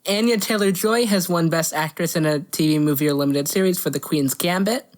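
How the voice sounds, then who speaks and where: A woman's voice: fairly low pitch, a little fast. A woman co-hosting a casual podcast, giggly and profane as she banters and reads things out. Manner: giggly, profane, easily amused. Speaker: podcast co-host. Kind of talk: casual banter, reading out award winners.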